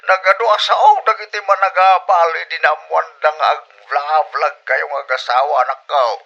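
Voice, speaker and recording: A man's voice: a little high, a little slow, sharp tone, lo-fi sound, exaggerated voice. A man acting a grumbling, meddling older village father in an Ilocano comedy audio drama, with an Ilocano Filipino accent and emphatic delivery. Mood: animated, teasing.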